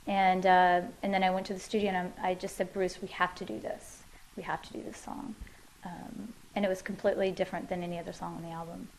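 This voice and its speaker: A woman's voice: fairly low pitch, little pitch movement, fast talker, slight background noise, low-quality recording. A woman musician answering interview questions in her living room, thoughtful and loose, with many fillers and restarts.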